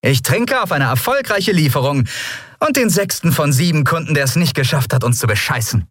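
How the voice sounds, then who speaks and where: A man's voice: on the high side, expressive, a little fast, fairly bright tone, breathy edge, no room sound, studio quality, pitched above the performer's own voice. A man voicing a spirited, witty young female ship captain in an audiobook, sassy and sweetly sarcastic. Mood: wry, animated. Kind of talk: audiobook character voice.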